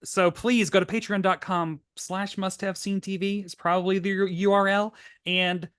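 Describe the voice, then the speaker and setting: Higher-pitched man, wry podcast co-host. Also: flat delivery, normal speed, a bit bright, clean tone, no room sound, clean studio sound. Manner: wry, tangential, self-interrupting asides. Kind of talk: casual rambling podcast chat.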